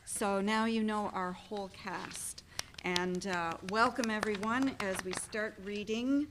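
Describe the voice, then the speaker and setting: A woman's voice: mid-range voice, fairly even intonation, slow, noisy background. A woman hosting a play reading and reading out narration to a live audience. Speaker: event host and stage directions reader. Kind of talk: live event hosting and narrated stage directions.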